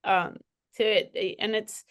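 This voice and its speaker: A woman's voice: medium pitch, fairly even intonation, unhurried, no room sound, studio quality. A woman moderating a panel conversation, speaking reflectively with frequent restarts and fillers. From partly raised in Switzerland. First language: bilingual, French second language.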